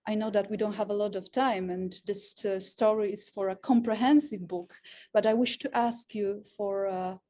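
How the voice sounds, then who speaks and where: A woman hosting an online event, introducing a guest in an effusive, earnest and halting way. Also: mid-range voice, warm tone, a little hiss or hum. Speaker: event host, moderator.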